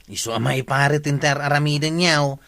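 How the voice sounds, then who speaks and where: A firm village councilor with an Ilocano accent. His voice is fairly high and forceful, delivered as radio drama dialogue. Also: normal speed, creaky. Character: firm male village councilor explaining the rules.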